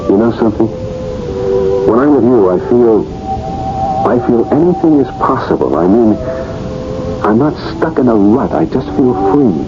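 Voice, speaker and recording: Male actor, troubled plain-spoken cop, animated intonation, 1970s radio drama, muffled sound over music. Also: mid-range voice, a little slow, long pauses, lightly acted. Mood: conflicted, tender. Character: troubled, plain-spoken married police lieutenant.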